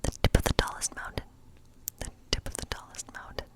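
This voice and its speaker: A woman playing a friendly, chatty woodland witch in an ASMR role play, speaking in a breathy whisper and rambling gently. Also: a little fast, lightly acted. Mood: musing, playful. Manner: rambling, gentle, lingering over phrases.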